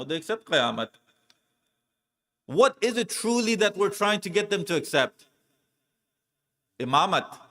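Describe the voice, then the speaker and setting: A man giving a religious lecture as a preacher, speaking in deliberate, emphatic oratory with repetition and rhetorical questions. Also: sits high, sharp tone.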